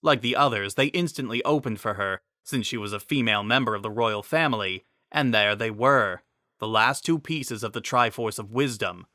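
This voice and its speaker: Bright-voiced man narrating dramatically. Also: fairly low pitch, moderate pace, no room sound, studio quality. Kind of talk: dramatic scripted story narration.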